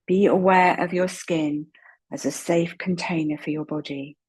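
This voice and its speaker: A woman leading a slow guided meditation in a soft, breathy voice, calm and unhurried, with long pauses. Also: on the low side, rough recording. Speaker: guided relaxation meditation instructor.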